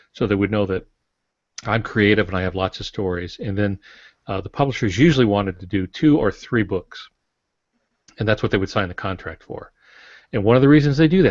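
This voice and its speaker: A man speaking as a guest author on a podcast, explaining things conversationally in an informal, folksy, opinionated way. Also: lowish voice. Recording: roomy, dull lo-fi podcast audio.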